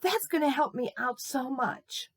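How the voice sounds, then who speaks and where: A woman voicing a cranky but soft-hearted old neighbor woman in a children's story, halting and repeating herself when she gets emotional. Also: high-pitched, breathy edge, voice pushed up, heavily acted. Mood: tearful, grateful. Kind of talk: children's Bible-lesson storytelling.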